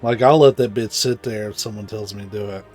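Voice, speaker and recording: A man's voice: lowish voice, quick pace, a little mellow. A man streaming a video game with casual, blunt, sweary commentary. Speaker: gameplay streamer. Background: game music.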